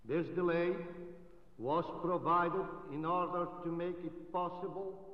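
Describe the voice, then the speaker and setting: A man addresses an assembly in formal, slightly halting English. His voice is on the higher side and sounds distant from the mic. The dull archival recording carries a long reverb from a vast hall. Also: steady tone, a crowd in the background. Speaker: assembly chairman and delegate. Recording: archival 1947 broadcast from a large hall, distant.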